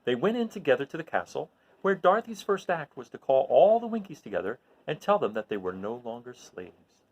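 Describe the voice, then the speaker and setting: A man reads a storybook aloud to his listeners. His delivery is animated and very varied in pitch, and his voice has a creaky, gravelly edge. He tells the tale expressively, as if to children. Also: a little fast, low-quality recording. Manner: animated, recapping with questions to listeners. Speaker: storyteller reading aloud to an audience.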